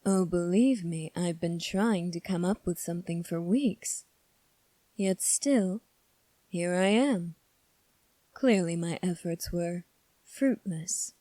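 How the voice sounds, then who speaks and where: A sly young prince played by a woman, with a soft mellow tone in a very dry, close recording. He speaks formally and calmly, probing and teasing with a faintly sadistic edge, in the manner of an ASMR audio drama. The pitch sits on the low side for a woman. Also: no echo. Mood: coolly probing. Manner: measured, formal, coolly probing.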